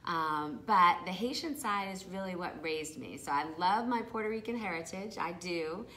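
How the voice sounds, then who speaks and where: A woman's voice: lowish voice, normal speed. A middle-aged woman from Brooklyn, a guest chatting casually and laughing often in an interview. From Brooklyn, New York. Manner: lively, laughs often, talks over the host.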